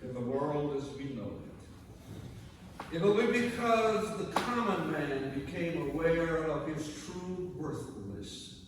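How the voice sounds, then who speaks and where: A man giving a solemn sacred reading at a church service, speaking deliberately with dramatic, oratorical phrasing and emphatic repetition. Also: on the high side. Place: large reverberant church indoors. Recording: very echoey church hall, far mic, noisy.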